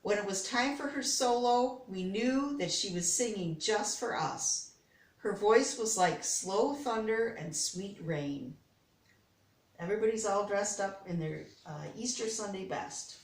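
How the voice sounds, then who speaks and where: A woman reading a children's story aloud, pausing to explain words and pictures as she goes. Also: mid-range voice, animated. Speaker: storybook reader. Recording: echoey room, distant microphone.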